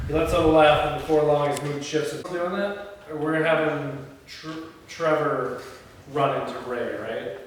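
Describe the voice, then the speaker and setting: A man's voice: on the high side, a little slow. A man leading a film script read-through, switching between casual planning talk and reading stage directions aloud. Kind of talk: casual production discussion mixed with reading screen directions aloud. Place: indoors in a reverberant room. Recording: very echoey room, distant mic, faint noise.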